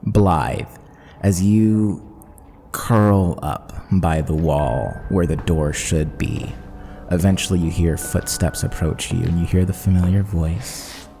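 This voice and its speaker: Deep, slow-talking male game master. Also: dark tone, long reverb tail, soft background music. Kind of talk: narrating a fantasy tabletop game.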